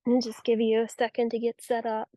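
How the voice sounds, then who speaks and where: A woman guiding a yoga nidra relaxation practice with soothing, unhurried, gentle instruction. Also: a little high, completely flat delivery, no echo, low-quality recording. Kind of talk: guided relaxation meditation.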